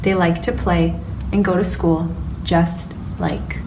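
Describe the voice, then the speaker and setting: Woman storyteller, children's read-aloud, low voice, distant mic, audible noise. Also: fairly even intonation, takes it slowly, echoey room.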